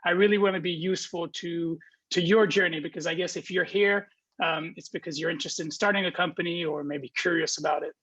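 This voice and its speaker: A man's voice: a little high, steady tone, fairly quick, bright, forceful tone, slightly rough, dull audio. A man in his twenties from Belgium, giving a friendly, informal talk to students as a guest speaker, with many ums and restarts. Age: 20s.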